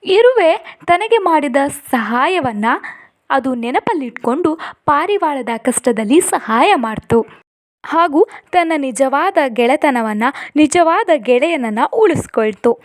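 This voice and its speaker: A woman narrating a moral story for children in an expressive way, speaking directly to young listeners as the channel's host. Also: high-pitched, takes it slowly.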